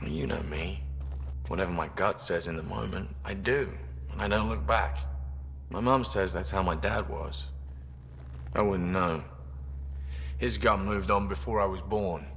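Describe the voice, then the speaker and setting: A man's voice: deep voice, a little slow, long pauses, a bit bright, a little hiss or hum. A man playing an easygoing, candid human engineer in a video game, speaking informally. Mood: wry, candid. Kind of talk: video game dialogue, casual and conversational.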